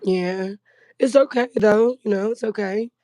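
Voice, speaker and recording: A woman guest on a casual livestream, earnestly explaining her point with many fillers. Her voice is going hoarse and sounds mellow. The sound is dry. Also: mid-range voice, measured pace, dry recording.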